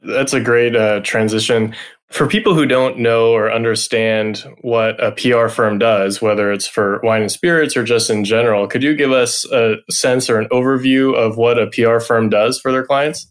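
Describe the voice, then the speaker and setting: Man, co-host, measured, mid pitch, questioning. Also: fairly even intonation. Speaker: podcast co-host. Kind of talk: podcast interview.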